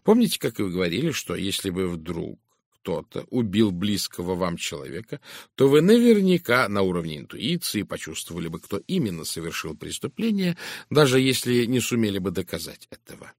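A man voicing a shrewd, composed Belgian detective in an audiobook, speaking with measured courtesy and deliberate, probing emphasis. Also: low voice, sing-song, no room sound, clean studio sound, full character voice. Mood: insistent, probing. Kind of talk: dramatised audiobook dialogue.

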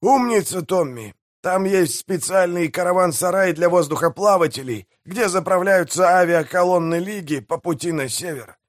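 A man's voice: sits high, expressive, moderate pace, few pauses, no echo, studio quality, pitched above the performer's own voice. A man voicing a boastful, greedy pirate mayor in an audiobook, blustering and commanding in a theatrical way. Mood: gleeful, scheming.

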